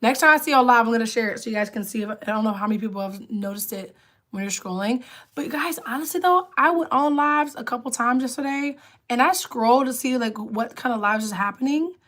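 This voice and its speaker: Woman, livestream host, raspy alto, a little high, loose casual chat with viewers. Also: quick pace, fairly bright tone. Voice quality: lower alto voice. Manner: chatty, reads and answers comments aloud. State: raspy voice from illness.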